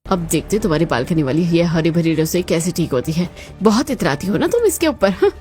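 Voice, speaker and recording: A woman's voice: lowish voice, sing-song, fairly quick, dark tone, soft background music. A woman voicing a spiteful, jealous elder daughter-in-law in a cartoon family drama, haughty and taunting. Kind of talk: Hindi cartoon moral-story voice acting.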